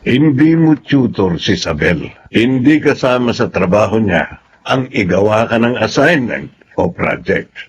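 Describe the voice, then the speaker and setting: A man's voice: lowish voice, animated, measured pace. An actor playing a stern, authoritative middle-aged father with a firm, commanding manner in a Filipino radio drama. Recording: dull audio with faint noise.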